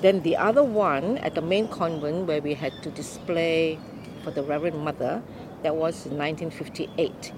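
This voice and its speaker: An older woman from Malaysia telling her life story in an unhurried, explaining way during an interview. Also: sits low, background noise. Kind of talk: oral history interview.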